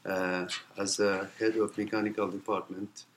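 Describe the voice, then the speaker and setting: A man, answering oral history interview questions in halting English full of uh fillers. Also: on the low side, level tone. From Iraq. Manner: halting non-native English with many uh fillers. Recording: noisy recording.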